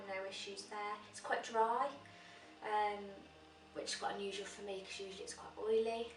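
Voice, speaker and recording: A young woman, slightly croaky from a cold, chatting casually through a pregnancy diary vlog with many "um" fillers. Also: medium pitch. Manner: chatty, frequent "um" fillers, matter-of-fact. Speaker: vlogger. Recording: echoey room, mic at a distance.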